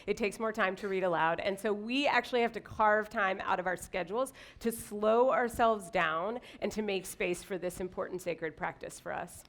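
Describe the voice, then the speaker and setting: A woman speaks as one of two presenters in a live slide talk. Her pitch is average for a woman, and she is addressing an audience. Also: normal speed, few pauses.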